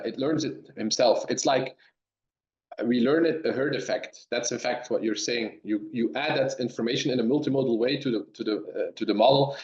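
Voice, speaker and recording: A man from Belgium, a dairy science professor, answering webinar questions in halting speech with many restarts over a remote call. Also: mid-range voice. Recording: roomy, dull lo-fi sound.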